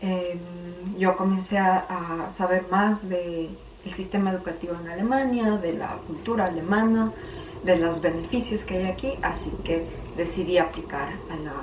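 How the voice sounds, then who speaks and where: A young woman from Ecuador with a Quito accent, talking chattily in a personal vlog and sometimes pausing to search for words. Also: fairly low pitch, darkish tone. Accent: Quito Ecuadorian Spanish. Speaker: vlogger. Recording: roomy, distant, faint background hum.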